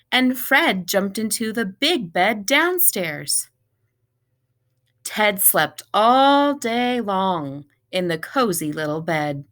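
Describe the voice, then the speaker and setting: Slow-paced woman reading a children's storybook. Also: mid-range voice, fairly bright tone. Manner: deliberate, measured storybook delivery. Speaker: teacher reading aloud to pupils. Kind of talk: children's picture book read-aloud.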